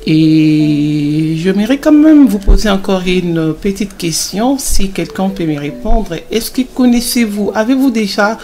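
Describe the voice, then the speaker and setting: A woman playing a kind mother who gives children a Bible lesson in an animated film, encouraging and explanatory, with some hesitations. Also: medium pitch, a little slow, hardly any pauses, natural voice.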